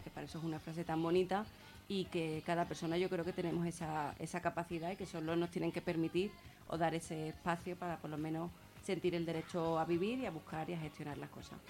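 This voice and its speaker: A woman's voice: lowish voice, a little mellow. A woman with a Spanish accent from Spain speaking informally as a radio guest, explaining things reflectively and repeating words for emphasis. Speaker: guest speaker on feminist therapy and gender violence.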